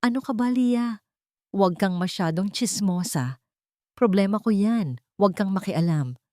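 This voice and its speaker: A woman's voice: medium pitch, expressive, measured pace, dark tone, no room sound, clean studio sound, voice pushed up, lightly acted. A woman voicing a defensive, secretive, short-tempered husband in a narrated family drama. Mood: irritated, threatening.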